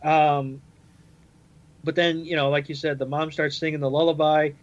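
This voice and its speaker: A man's voice: on the high side, level tone, normal speed, lo-fi sound. A man chatting casually on a podcast panel, recapping and explaining things step by step. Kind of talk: casual episode recap discussion.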